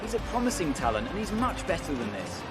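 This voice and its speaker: Male motorsport pundit, critical yet fair, high pitch, game broadcast with strong music bed. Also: huge pitch swings, fast. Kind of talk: sports commentary in a video game.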